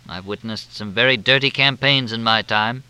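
A man's voice: mid-range voice, normal speed, pushed and bright, clean tone, no room sound. A man voicing an old, bitter retired journalist speaking wearily. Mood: rueful. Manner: weary, bitter.

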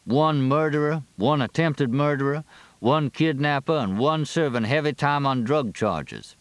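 A man's voice: on the high side, measured pace, no echo, voice pushed up, natural voice. A man voicing a small-town sheriff, wry and plain-spoken, in a novel reading. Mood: grave, puzzled. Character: dry-humored, folksy small-town Southern sheriff, long married.

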